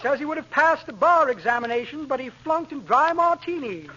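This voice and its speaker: An actor playing a pompous, flowery, slightly shady blowhard in a grandiloquent 1940s radio comedy style. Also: male voice, very high-pitched, lively intonation, a little fast. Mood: muttering, rambling. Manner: grandiloquent, theatrical. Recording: noisy, muffled vintage radio recording.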